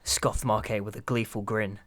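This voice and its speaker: A man's voice: lowish voice, little pitch movement, normal speed, a crowd in the background. A man narrating a scripted dramatic short story in an audio drama.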